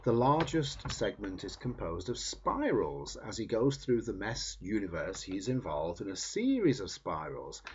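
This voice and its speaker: A man reading a book aloud in measured, emphatic phrases that trail off at the ends of sentences. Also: lowish voice, breathy edge, lo-fi sound. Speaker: reader.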